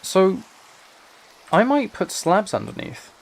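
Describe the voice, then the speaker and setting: A man's voice: high voice, big pitch swings, takes it slowly, vocal fry. Man musing aloud on a gaming livestream. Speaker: live streamer. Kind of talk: casual gaming livestream chat.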